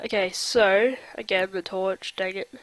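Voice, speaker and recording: A man's voice: high voice, fairly even intonation, measured pace, fairly bright tone, dry recording. Nasal young man chatting over gameplay. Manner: chatty, rambling with fillers. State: nasal from a cold. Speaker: let's play host. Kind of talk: casual gameplay commentary.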